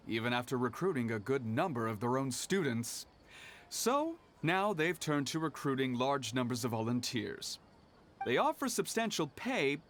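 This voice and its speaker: An actor playing a courteous, businesslike guild receptionist in a video game, explaining things methodically. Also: male voice, mid-range voice, fairly bright tone. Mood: thoughtful, explanatory. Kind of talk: scripted game dialogue.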